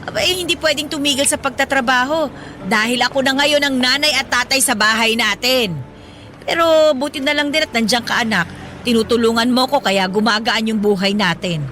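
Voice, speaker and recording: A woman's voice: fairly high pitch, fairly quick, pushed and bright, slight background noise. An actress playing a hardworking, affectionate mother in her late thirties in a Filipino radio drama, colloquial and playfully indignant. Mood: earnest, affectionate. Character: hardworking, proud, affectionate 37-year-old mother driving a pedicab.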